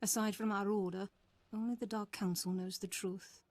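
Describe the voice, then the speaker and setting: A husky, breathy female Sith master, voiced by a woman, grave and secretive. She speaks in measured, formal phrases with game-drama solemnity, in a very dry, close sound. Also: medium pitch, fairly even intonation, a little mellow, dry recording, lightly acted. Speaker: game character, secret cult leader. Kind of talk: dramatic video game voice acting.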